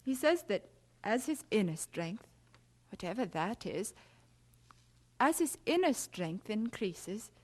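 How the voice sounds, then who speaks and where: A woman playing a concerned, mildly bewildered mother of a grown son in an audio drama, speaking in a measured, resigned way. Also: medium pitch, big pitch swings. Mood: resigned puzzlement.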